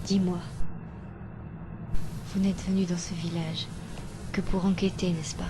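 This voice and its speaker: A woman's voice: on the low side, flat delivery, a little slow, lightly acted. A woman playing a disillusioned, reproachful young village guide in an amateur French anime dub. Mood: hurt, questioning. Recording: noisy amateur recording.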